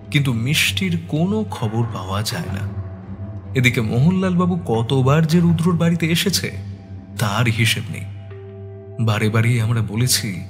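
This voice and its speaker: A man narrating a detective story as the sleuth's loyal, concerned companion, in a measured dramatic style. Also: medium pitch, lightly acted. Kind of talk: scripted suspense story narration. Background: background music.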